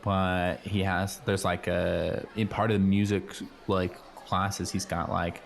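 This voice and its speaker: A man playing an earnest, know-it-all high school boy in an improvised comedy radio play, rambling casually with lots of slang. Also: flat delivery, measured pace, vocal fry. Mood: earnest, informative. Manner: rambling, hesitant, peppered with "like" and slang.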